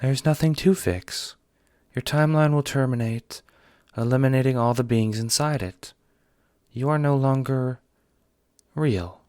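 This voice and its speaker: A man voicing a blunt female alien troll time traveler in an audio drama, speaking formally and with measured care. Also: mid-range voice, a little mellow, no room sound, studio quality, close to an everyday voice. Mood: blunt, solemn. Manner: formal, measured, few contractions.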